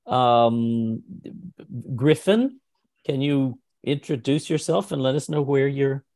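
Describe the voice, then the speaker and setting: A man's voice: mid-range voice, lively intonation, slow, darkish tone, dry recording. A middle-aged man hosting casually and chattily on a video call from a room indoors. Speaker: host of an online singing competition. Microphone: computer mic on a video call.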